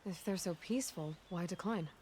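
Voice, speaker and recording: Actress as female adventurer, lowish pitch, measured, probing, serious-minded, video game dialogue. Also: warm tone, a little hiss or hum, natural voice. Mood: curious. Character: inquisitive, level-headed female adventurer and warrior.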